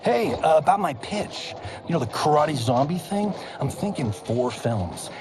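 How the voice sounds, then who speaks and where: A man's voice: fairly high pitch, measured pace, background noise. An actor playing a brash, wisecracking male movie star in a video game cutscene. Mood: cocky. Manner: cocky, wisecracking, animated. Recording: game cutscene with music behind.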